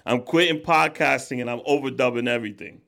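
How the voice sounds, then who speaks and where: A man's voice: medium pitch, quick pace, creaky, sharp tone. A man hosting a podcast in casual, joking chat, often slipping into voices. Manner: playful, joking, breaks into impressions. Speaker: podcast host.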